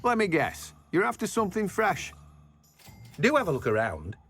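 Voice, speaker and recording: A welcoming shopkeeper from a magical video game. His voice sits fairly high and moves in a lively way, over faint music. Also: normal speed, husky, exaggerated voice. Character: welcoming male magical shopkeeper with a sales patter.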